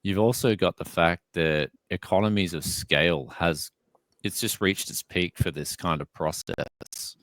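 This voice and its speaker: Deep-voiced man chatting on a call. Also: unhurried, no echo. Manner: relaxed, rambling, says "man" and "dude". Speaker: tech YouTuber guest. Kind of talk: casual video call chat. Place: home studio apartment.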